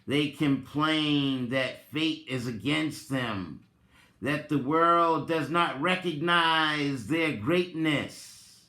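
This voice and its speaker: A man hosting a dramatic reading and reflective commentary, speaking in a deliberate, emphatic way with pointed emphasis. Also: a little high, a bit bright. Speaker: host giving a reading and commentary. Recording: roomy, echoing sound.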